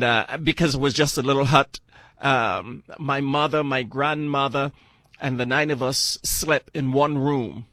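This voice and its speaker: A man's voice: medium pitch, a bit bright, dry recording. Older man, close dry sound. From Barbados. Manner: earnest, deliberate, repeats phrases for emphasis. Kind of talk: personal testimony storytelling.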